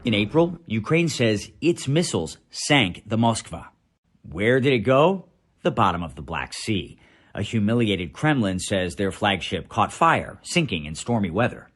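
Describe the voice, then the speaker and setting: An American man, a news correspondent, reading a scripted report voice-over in a dramatic, measured way with deliberate pauses. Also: on the low side, big pitch swings, fairly bright tone.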